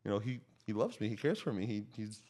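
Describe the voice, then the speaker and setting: A man's voice: on the low side, lively intonation, quick pace, a little mellow. A man with a slight Slovak accent, a native Slovak speaker, telling stories casually in a rambling, self-interrupting way as a podcast guest. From child of Slovak parents. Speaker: podcast guest, real estate agent. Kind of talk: casual animated storytelling.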